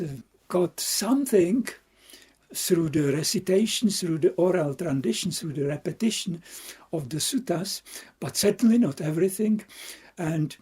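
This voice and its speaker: A Czech man, a Buddhist monk, gives an unhurried, reflective dharma talk with a Czech accent. His voice is deeply breathy and husky with a mellow, dark color. He pauses often to search for words and lets out soft laughs along the way. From Czech Republic.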